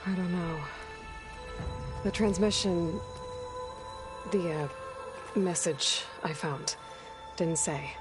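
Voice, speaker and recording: A woman playing a guarded, capable young huntress in a video game, earnest and a little halting as she explains things. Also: fairly low pitch. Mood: focused, concerned, then hesitant, guarded. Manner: hesitant, halting when explaining. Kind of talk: video game cutscene and exploration dialogue. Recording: loud cinematic game music behind. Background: game music.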